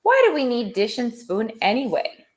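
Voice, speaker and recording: Storybook dog, played by a woman: small, cranky, weary, sarcastic, with animated swings of pitch. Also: normal speed. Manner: grumpy, muttering, sarcastic. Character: cranky, tired little male dog, storybook.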